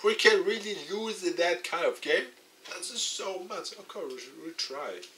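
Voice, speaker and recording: A man reading a visual novel aloud as a let's player, with expressive dramatic narration. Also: high-pitched, moderate pace, a little hiss or hum. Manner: expressive, acts out dialogue.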